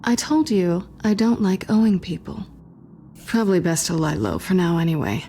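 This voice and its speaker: A guarded young woman thief in a story-driven video game. Her voice sits on the low side, intimate and sardonic, with a teasing dry wit. There is clear noise and ambience behind her. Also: animated, moderate pace, warm tone. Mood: guarded, irritated. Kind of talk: naturalistic video game acting.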